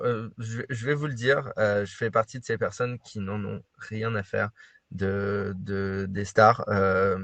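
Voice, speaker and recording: A man with a fairly low voice answers questions as a panel guest. He talks in an animated way, pausing often on fillers. The sound is dry and close. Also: dry recording. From France and Brazil. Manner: animated, hesitant with many fillers. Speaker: guest, sports club employee.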